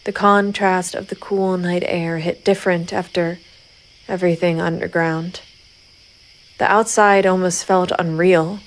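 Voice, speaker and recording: Low-voiced, grim female vigilante. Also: steady tone, unhurried, slight background noise. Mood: determined, sombre. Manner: controlled, stern, resolute. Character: grim vigilante woman private investigator, cold and composed. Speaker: narrator and lead character. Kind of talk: gritty noir crime drama narration.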